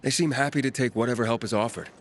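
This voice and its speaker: A man voicing a wary male wanderer in a video game, speaking calmly and drily. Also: medium pitch, very fast, soft background music, lightly acted. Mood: dry. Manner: calm, dry, laconic.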